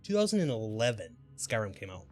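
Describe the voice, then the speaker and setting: A man's voice: fairly low pitch, sing-song, a little fast, darkish tone. A man chatting casually and informally on a live gaming stream, speculating in a rambling way. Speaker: game livestreamer. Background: background music.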